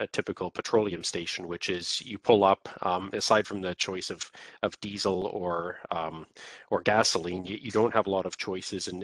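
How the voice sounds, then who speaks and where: A man with a Canadian accent giving an informal webinar presentation in a halting, explanatory way. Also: low voice, fairly bright tone, no room sound.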